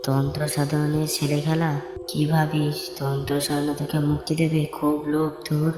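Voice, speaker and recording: A cruel cartoon witch, acted out by a woman, speaks low and commanding. She taunts and mocks like a villain in full control, over faint background music. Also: level tone, moderate pace, reverberant, long reverb tail, natural voice. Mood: menacing, mocking. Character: menacing witch demanding chicken, cruel and mocking.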